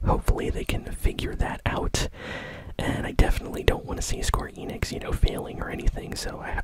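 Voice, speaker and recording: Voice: very high-pitched, low-quality recording. A person whispering casually in an ASMR style, hosting a news update in informal, conversational phrasing. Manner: hushed whispering throughout. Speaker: gaming news host.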